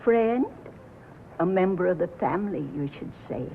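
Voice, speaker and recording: An actress playing a devoted, sentimental older radio fan, earnest and emphatic, in a 1950s film. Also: female voice, on the low side, animated, normal speed. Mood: earnest, fond. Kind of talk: 1950s film acting, street interview. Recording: tinny, noisy 1950s film soundtrack.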